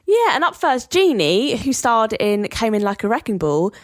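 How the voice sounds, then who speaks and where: A woman's voice: fairly high pitch, very animated, normal speed, no room sound, clean studio sound. A woman co-hosting a podcast in a scripted presenting style. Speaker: podcast co-host.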